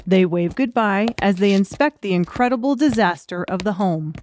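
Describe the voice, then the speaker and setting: A woman's voice: medium pitch, normal speed, a little mellow, a little hiss or hum. A woman reading scripted, dramatic audiobook narration.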